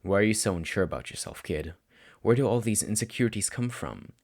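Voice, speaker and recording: A man voicing a weary, blunt but caring male teacher, calm, quiet and terse, in a story reading. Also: on the low side, fairly quick. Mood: concerned, probing.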